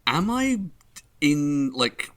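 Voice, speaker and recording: A man from southern England with a southern English accent, playing in a tabletop game with animated, joking talk. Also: on the high side, drawn-out words, clear, resonant tone. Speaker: player. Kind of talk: casual tabletop game play.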